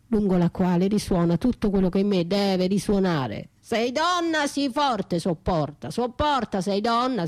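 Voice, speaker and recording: A woman's voice: mid-range voice, warm tone, lightly acted. An actress playing a battered, trapped, desperate woman in a theatrical radio monologue, speaking in poetic fragments and repeated words that lapse into whispers. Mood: stern, urging.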